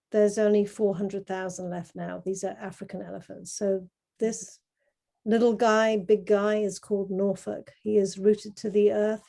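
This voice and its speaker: A middle-aged woman from Kenya talking informally as an artist presenting their work on a video call, explaining in a measured, earnest way. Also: medium pitch, warm tone. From grew up in Kenya. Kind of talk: informal talk presenting artwork to an online group.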